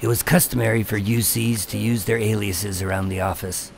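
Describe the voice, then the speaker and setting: A man playing an undercover agent in a radio drama, telling his story with deadpan, wry tension. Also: lowish voice, fairly quick, gravelly, slight background noise. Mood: tense, wry. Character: wry, nervous undercover agent posing as mob enforcer. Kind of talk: first-person noir radio drama narration and dialogue.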